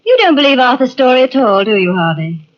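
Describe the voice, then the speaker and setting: Anxious, protective wife, earnest and higher-pitched, highly animated, vintage radio mystery on dull tape. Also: female voice, a little fast. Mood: uneasy. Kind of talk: old-time radio mystery acting.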